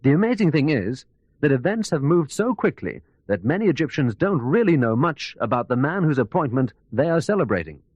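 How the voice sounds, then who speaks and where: A man's voice: fairly high pitch, sing-song, brisk, a little mellow, slightly rough, dull audio. A man playing a radio news correspondent, narrating a scripted background report in a formal, articulate storytelling manner. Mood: measured, earnest. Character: male news correspondent recounting a biography for radio.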